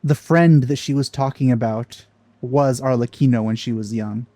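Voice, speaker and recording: A man's voice: mid-range voice, steady tone, moderate pace, dark tone, no room sound. A man hosting a let's play, reacting to a game with casual commentary on a close microphone.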